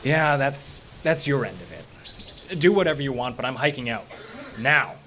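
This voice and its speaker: A man's voice: medium pitch, expressive, normal speed, a bit bright, a little hiss or hum, lightly acted. A man acting a weary, self-interested hiker in an audio horror drama. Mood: fed up.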